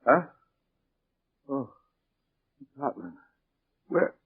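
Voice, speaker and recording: An actor playing a dazed, sickly man in a 1940s radio drama, murmuring and groaning in halting phrases. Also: dry recording. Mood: dazed, uneasy. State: weak and groggy, acted. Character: dazed, sickly, guilt-haunted man waking from a blackout. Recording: muffled, band-limited 1940s radio recording.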